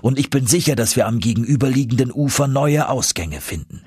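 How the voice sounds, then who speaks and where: Man voicing a husky, emphatic professor uncle. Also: medium pitch, animated, brisk, a little mellow, no echo. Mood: determined. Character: confident, eager older professor uncle, explorer.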